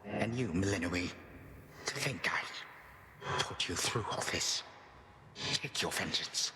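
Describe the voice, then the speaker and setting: A man's voice: low voice, expressive, slow. An actor playing a defeated, proud old titan grandfather in a video game, speaking in solemn, archaic, formal phrases. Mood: weary, bitter. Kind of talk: dramatic video game voice acting. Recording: echoey hall reverb, noisy background.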